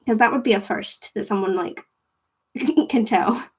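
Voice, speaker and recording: A woman's voice: a little high, a little slow, darkish tone, breathy edge. A young Scottish woman chatting casually with her viewers, rambling and giggling, full of "like". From Scotland. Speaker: livestream host chatting with viewers. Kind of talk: casual chat.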